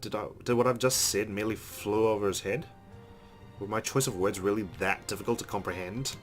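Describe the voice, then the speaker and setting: Lower-voiced man reading a story aloud. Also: a little fast. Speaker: let's play host. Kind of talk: reading visual novel narration aloud. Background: game music.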